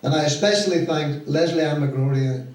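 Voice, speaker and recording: A middle-aged or older man with a Donegal Irish accent gives an informal, deliberate thank-you speech to an audience as a pantomime director. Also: fairly high pitch, a little mellow. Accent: Irish, County Donegal. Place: stage of a community hall. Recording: very echoey hall, distant mic, faint noise.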